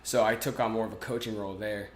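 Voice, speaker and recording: A man's voice: on the low side, fast talker, echoey room, slight background noise. A man in his twenties, a podcast guest talking casually, with lots of fillers and restarts. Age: 20s. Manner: relaxed, many fillers and restarts. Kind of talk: casual interview talk.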